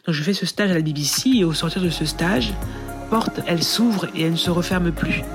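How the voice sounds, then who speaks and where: A woman from France, a podcast guest and author, tells her career story in conversation as an animated storyteller who often pauses and restarts her sentences. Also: lowish voice, over music.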